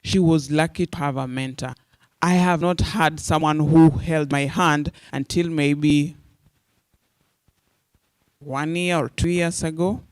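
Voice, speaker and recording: A man's voice: fairly high pitch, steady tone, takes it slowly, long pauses, clean tone, no room sound. A Kenyan man in his forties, a radio journalist speaking earnestly and directly to media students. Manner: earnest, direct, addresses listeners as "you guys".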